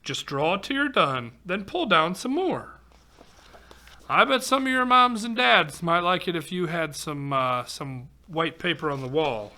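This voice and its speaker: A man's voice: fairly high pitch, lively intonation, a little slow, sharp tone. A man reading a rhyming picture book aloud to children, expressively, with exclamations and chatty asides. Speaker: volunteer storybook reader for children.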